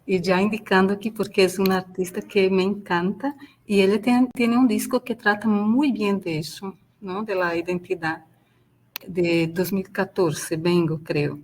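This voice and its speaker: A woman's voice: mid-range voice, steady tone, measured pace, slight background noise. A woman from Brazil, a Portuguese speaker talking in Spanish, moderating a webinar with friendly, rambling questions and frequent tag questions. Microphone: video call.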